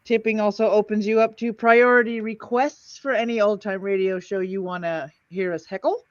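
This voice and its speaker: Playful lady streamer chatting casually, mid pitch, dry kinda muffled mic. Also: steady tone, moderate pace, dry recording. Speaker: host of an old-time radio heckling stream. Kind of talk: casual livestream hosting.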